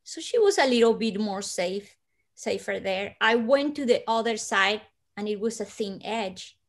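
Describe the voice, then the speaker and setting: Slow-spoken Colombian woman on a video call. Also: mid-range voice, lively intonation. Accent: Colombian Spanish. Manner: slow and hushed, with soft breathy dips and sudden lifts. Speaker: guest. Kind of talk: storytelling in an interview. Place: living room at home.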